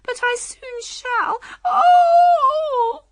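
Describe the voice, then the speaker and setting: High-pitched, excitable old queen. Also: unhurried, no room sound, heavily acted. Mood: shrieking, distressed. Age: older. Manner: shrill, emphatic, matter-of-fact about nonsense. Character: eccentric, excitable, scatterbrained old storybook queen.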